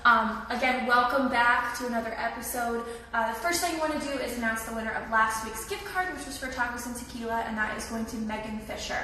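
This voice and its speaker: A young woman with a slightly raspy voice from a cold, giving a friendly, chatty live tour of an apartment building. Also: fairly high pitch, fairly even intonation, brisk, hardly any pauses, pushed and bright, slight background noise. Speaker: leasing tour host. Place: echoey empty indoor rooms. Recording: echoey room from far off.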